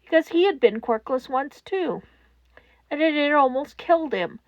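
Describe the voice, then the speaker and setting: A woman narrating scripted fan fiction in a solemn, measured way with dramatic pauses. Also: high voice, animated, no echo, highs cut off.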